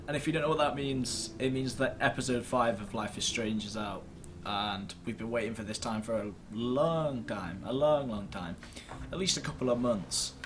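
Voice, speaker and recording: A man's voice: mid-range voice, normal speed, few pauses, noisy background. A man gives casual, rambling gaming commentary to camera, self-deprecating and mildly sweary, recorded at his computer. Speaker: host of a gaming video series. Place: indoors at a computer screen.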